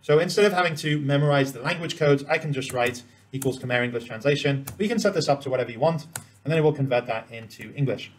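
Fast-talking man giving a software tutorial. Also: mid-range voice. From Cambodia. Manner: explains step by step while demonstrating. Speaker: spreadsheet tutorial presenter. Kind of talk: informal screen-share software tutorial.

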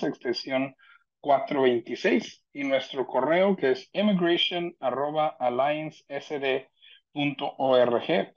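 A man presents information informally over a video call, explaining in a conversational and slightly hesitant way. His voice sits at an average pitch, and the audio is a bit muffled and lo-fi. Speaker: immigration legal representative giving an online presentation. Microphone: video call computer microphone.

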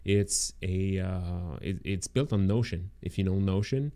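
A man's voice: deep voice, a little slow, mellow. A man in his thirties casually presenting business bonuses on a livestream into a close mic, rambling with fillers and restarts, his voice worn from talking for hours. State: losing his voice, throaty. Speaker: agency owner presenting course bonuses. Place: home room indoors. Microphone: close studio mic in frame.

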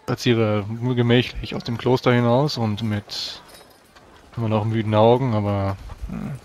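A man playing a tabletop game, narrating his character's actions deliberately in a subdued, literary way. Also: fairly low pitch, little pitch movement, darkish tone, a little hiss or hum. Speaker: tabletop role-player.

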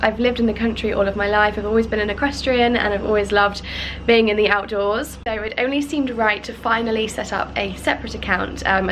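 A young woman vlogging casually to camera at arm's length in her living room, bubbly and chatty, her voice slightly croaky from a cold. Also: medium pitch, fairly bright tone, slight background noise, poor audio. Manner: bubbly and chatty, many ums. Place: bright home living room indoors. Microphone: handheld camera at arm's length.

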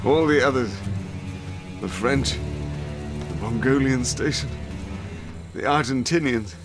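A man's voice: medium pitch, slow pace, music in the background. A man playing a grave, wounded agent in a video game cutscene. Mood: grim.

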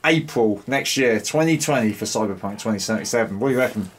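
A man's voice: on the low side, fast talker, a little hiss or hum. A man in his thirties hosting a casual reaction chat. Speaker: reaction show host.

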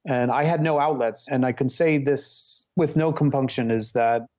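A man's voice: medium pitch, normal speed, a little mellow, highs cut off. A man who grew up in Hong Kong, a therapist and teacher, telling his life story in an earnest, candid way and searching for words. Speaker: podcast guest, psychotherapist and Buddhist teacher. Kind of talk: reflective personal storytelling.

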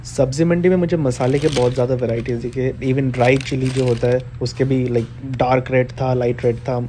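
An Indian-accented man in his twenties talks through a grocery store with a handheld camera, switching easily between Hindi and English and calling his viewers "guys". His voice is mellow and fairly flat. The faint hum of the store carries behind him. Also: medium pitch, moderate pace. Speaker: vlogger. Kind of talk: casual Hindi-English shopping vlog. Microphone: handheld camera, first-person view.

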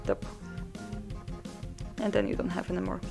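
Young woman narrating gameplay from her desk, gravelly fry in her voice, chill and dry, game music underneath. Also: fairly low pitch, moderate pace. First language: Polish. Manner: relaxed, dry humor, thinks aloud with ums. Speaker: mod developer showing a game. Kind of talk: casual gameplay commentary.

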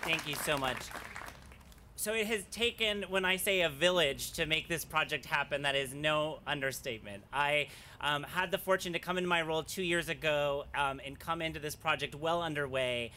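Man, 30s, official at a ceremony; earnest, a bit halting, podium mic outdoors with clear noise behind. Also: on the high side, pushed and bright, a faint crowd. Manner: earnest, slightly halting, with fillers. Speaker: arts official. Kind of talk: ceremony speech with thank-yous. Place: outdoor plaza at a groundbreaking. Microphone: podium mics.

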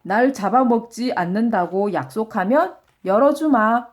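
A woman's voice: fairly high pitch, unhurried. A woman voicing an earnest, indignant male traveler in a children's storybook, with exclamatory, old-fashioned phrasing. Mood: earnest asking.

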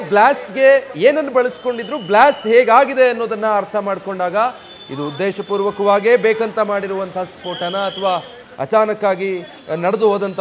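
This is a male news anchor with a notably high-pitched voice. He delivers live breaking-news commentary in a dramatic way. He often builds his points as rhetorical questions. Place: TV news studio.

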